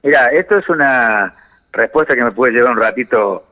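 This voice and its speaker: A man's voice: mid-range voice, normal speed. Argentine man on a reverberant phone line. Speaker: economics commentator, phone guest. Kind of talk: explanatory radio-style interview answers. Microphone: telephone. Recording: reverberant phone call feed.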